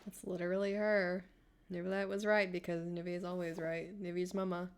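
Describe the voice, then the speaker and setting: A woman's voice: on the low side, level tone, moderate pace, slight background noise. A woman streamer reacting emotionally, muttering and swearing as she plays. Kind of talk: casual reaction while playing. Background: game music.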